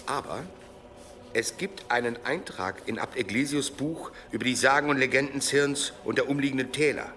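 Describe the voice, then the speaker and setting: An actor playing a dutiful monk scholar, deferential and explanatory, in a radio drama. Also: male voice, mid-range voice, normal speed, sharp tone, lightly acted. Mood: anxious, explanatory. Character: dutiful monk scholar reporting old legends.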